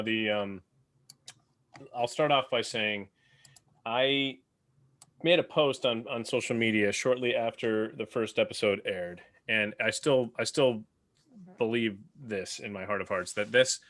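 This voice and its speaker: A man on a podcast panel, talking casually and enthusiastically, often halting to gather his thoughts. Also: lowish voice, fairly bright tone, dry recording. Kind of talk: casual discussion.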